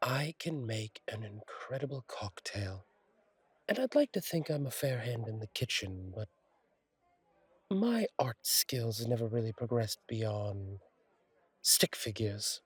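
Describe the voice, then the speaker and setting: Man playing a husky, wry fallen angel bartender. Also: medium pitch, sing-song, takes it slowly, no echo, heavily acted. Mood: friendly, curious. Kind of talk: intimate audio roleplay, one-sided conversation.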